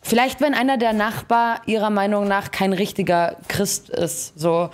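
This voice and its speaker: A woman's voice: mid-range voice, fairly even intonation, normal speed. Young woman casually commentating a game. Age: young adult. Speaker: gaming streamer. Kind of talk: casual gameplay commentary and chat. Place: indoor streaming setup. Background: game music.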